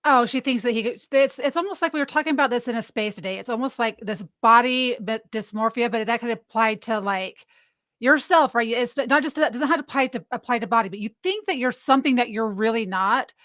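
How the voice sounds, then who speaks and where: A high-pitched woman who hosts a casual livestream and rambles through her points, often restarting sentences. Her voice is dry and close to the mic, with no room sound. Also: brisk, a bit bright, no echo. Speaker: host.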